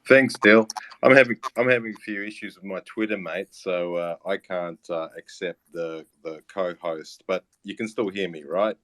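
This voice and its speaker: An Australian man in his late thirties giving a casual interview, halting and matey as he explains his work. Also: low voice, a bit bright. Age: late 30s. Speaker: interview guest, music platform founder and teacher.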